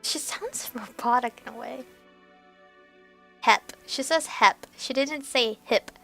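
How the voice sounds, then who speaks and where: Young female gaming host, fairly high and very bright voice, giggly casual commentary over music. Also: slow pace. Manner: giggly, chatty. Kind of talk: casual let's play commentary, reading lines aloud. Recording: music behind the voice. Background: game music.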